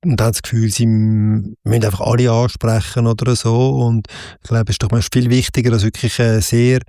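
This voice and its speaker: A man's voice: medium pitch, flat delivery, brisk, warm tone, dry recording, clean studio sound. A man with a Swiss German accent interviewing a musician in a casual chat, often ending his points with a questioning "oder?". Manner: chatty, ends points with "oder?".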